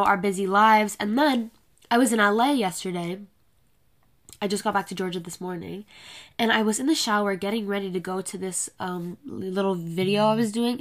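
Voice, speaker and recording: An eighteen-year-old woman hosting her own podcast, talking in a casual, blunt way with a congested, nasal voice and a lot of swearing. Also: mid-range voice. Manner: chatty, blunt, swears freely. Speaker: podcast host. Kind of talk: casual solo podcast chat. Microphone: laptop camera with a separate mic.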